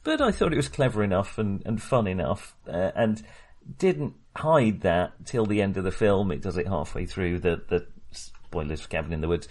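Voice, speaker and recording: A man co-hosting a film podcast in casual discussion, talking haltingly and often correcting himself. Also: low-pitched, animated, a little mellow. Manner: hesitant, halting, self-correcting.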